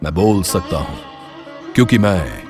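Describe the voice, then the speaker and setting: A man performs a magical tree from a fairy tale. The voice is deep and solemn, with measured, instructive delivery. Music sounds clearly behind it. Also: lively intonation. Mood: solemn, mysterious. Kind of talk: Hindi fairy tale voice acting.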